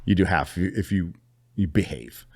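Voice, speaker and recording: A middle-aged man from California telling a story as a podcast guest, animated and profane, laughing as he goes. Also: deep voice, normal speed, gravelly. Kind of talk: casual storytelling.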